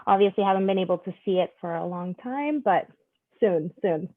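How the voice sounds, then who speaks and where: A woman's voice: fairly low pitch, lively intonation, normal speed, dry recording. A woman from Panama, a guest chatting casually and laughing often, heard over a video call. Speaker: guest, wine events founder. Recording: tinny phone-like call audio.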